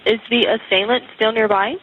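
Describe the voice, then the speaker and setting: A woman's voice: on the high side, steady tone, a little fast, lightly acted. A woman as a composed emergency call-taker, asking clipped, businesslike questions over a muffled line. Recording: muffled radio-like line, faint noise.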